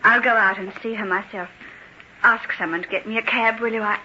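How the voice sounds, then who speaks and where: A woman's voice: medium pitch, steady tone, brisk, bright, forceful tone. An actress playing a genteel, devoted young lady, earnest and hesitant, in an old-time radio drama. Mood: troubled, restrained. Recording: dull, noisy old radio recording.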